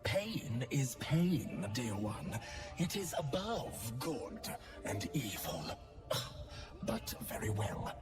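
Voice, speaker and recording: An actor playing a serene, sinister priest devoted to pain in a video game, measured, unctuous and softly intense. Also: male voice, mid-range voice, very animated, recorded from a distance, over music. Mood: coldly dismissive.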